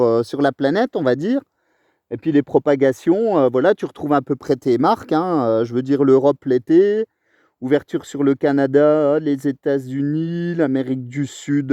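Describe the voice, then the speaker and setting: Mellow, chatty man on the dry side. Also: mid-range voice, dry recording, muffled recording. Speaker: amateur radio operator recording his contact. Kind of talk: casual radio chat in long turns.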